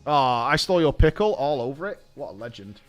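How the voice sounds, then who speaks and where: A man's voice: mid-range voice, big pitch swings, a little fast, faint music behind. A British man hosting a gaming show, giving casual, blunt live reactions and thinking aloud.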